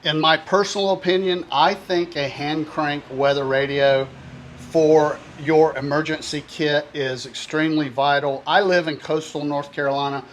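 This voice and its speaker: A man presenting a product review, explaining features one by one in a plain, steady way. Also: fairly high pitch, moderate pace, a little hiss or hum.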